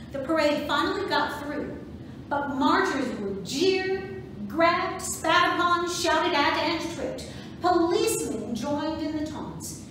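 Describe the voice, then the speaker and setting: A woman narrates a script dramatically, projecting her fairly high voice with emphasis. The recording is very echoey, with clear background noise. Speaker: volunteer docent reading as narrator. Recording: echoey, noisy room from far off.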